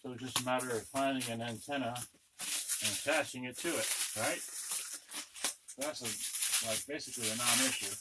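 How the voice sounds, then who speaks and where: Man muttering through a demo over loud noise. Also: medium pitch, steady tone, normal speed, long pauses. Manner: muttering to himself while working. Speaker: electronics unboxing presenter. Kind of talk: casual hands-on demonstration commentary. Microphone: camera on a tripod. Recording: noisy room recording with clicks and handling.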